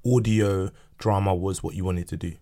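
A man's voice: deep voice, fairly even intonation, normal speed, dark tone. A man hosting a casual podcast, reacting in short slangy interjections and questions. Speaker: podcast host.

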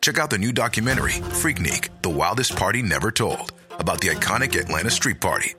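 A male announcer punches through an upbeat streaming advert. His voice is lowish and lively in pitch. Music plays under the read. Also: fast.

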